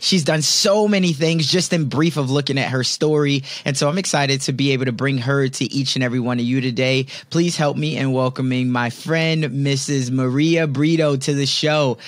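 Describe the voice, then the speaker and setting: A man's voice: mid-range voice, steady tone, normal speed, few pauses, no room sound, clean studio sound. A man hosting an interview podcast, speaking in an enthusiastic, motivational and complimentary way as he sets up questions. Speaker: podcast host.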